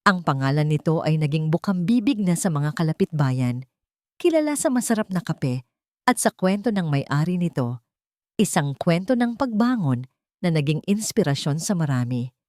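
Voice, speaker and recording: A woman's voice: low voice, sing-song, moderate pace, mellow, no echo, studio quality. A woman with a Filipino accent reading a sentimental story as scripted narration.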